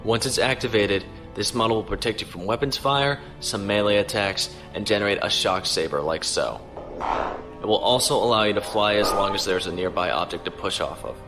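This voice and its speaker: An actor playing a steady, courteous starship captain in a science fiction audio drama, crisp and authoritative. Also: male voice, fairly low pitch, a little fast, natural voice. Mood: composed, instructing. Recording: faint music behind.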